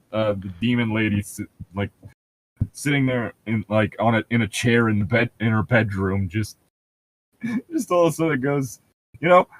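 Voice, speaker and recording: A man doing casual, mocking read-along commentary as a show host, jokey and profane as he rambles. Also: medium pitch. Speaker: host of a comedic book-reading show.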